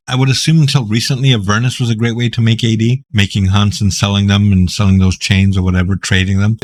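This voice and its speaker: Casual gaming YouTuber, a man from Greece, low raspy voice, easygoing and jokey. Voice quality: deep, raspy. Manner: laid-back, joking, rambling. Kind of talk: casual Q&A reading viewer questions.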